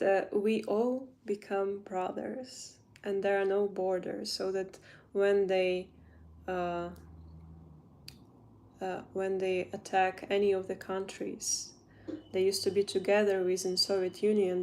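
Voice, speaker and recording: Young Ukrainian-accented woman streaming from her phone, slow and halting as she reaches for words, faint room hum behind. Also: mid-range voice, level tone, darkish tone. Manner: halting, searching for English words. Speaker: livestreamer. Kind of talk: casual livestream chat while doing makeup.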